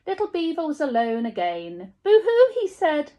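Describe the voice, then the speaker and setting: A woman's voice: a little high, big pitch swings, a little slow, darkish tone, dull audio. A woman reading a children's storybook aloud expressively, like a teacher telling a story to small children.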